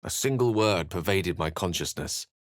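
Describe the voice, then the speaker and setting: A learned human wizard in a fantasy video game. His voice sits low, and he speaks in articulate, theatrical phrases. He comes across as wry and courtly, with a streak of melancholy. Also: expressive, normal speed, no room sound, clean studio sound. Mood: measured, grave. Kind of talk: video game character dialogue and narration.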